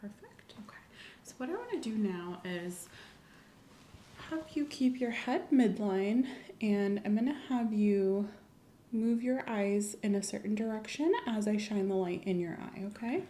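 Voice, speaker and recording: Calm, attentive female clinician, played by a woman for ASMR medical roleplay; soft, mellow, measured delivery in a roomy space. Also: mid-range voice, a little hiss or hum, close to an everyday voice. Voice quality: soft, often whispery. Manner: gentle, measured step-by-step instructions. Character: calm, attentive female doctor giving an exam. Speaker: ASMR performer playing a clinician.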